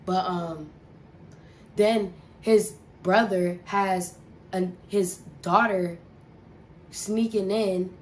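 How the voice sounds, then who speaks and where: A woman's voice: medium pitch, steady tone, drawn-out words, long pauses, a little hiss or hum. A young woman telling a casual storytime, rambling and profane with lots of filler words. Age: young adult. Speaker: vlogger.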